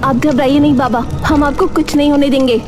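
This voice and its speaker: An earnest young cartoon heroine, voiced by a woman, with a very high girlish pitch. She sounds sincere and plucky over clear background music. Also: steady tone, fairly quick, natural voice. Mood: brave. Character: earnest, brave, apologetic young girl. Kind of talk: Hindi children's cartoon story acting. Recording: music under the voice.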